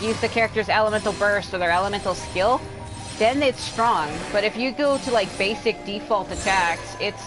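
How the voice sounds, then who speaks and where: A woman gives casual commentary over her gameplay, with music clearly audible behind her. Her voice sits at an average pitch for a woman. She rambles and grumbles bluntly, with plenty of swearing. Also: low-quality recording. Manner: rambling, complaining. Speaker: livestreamer playing a video game.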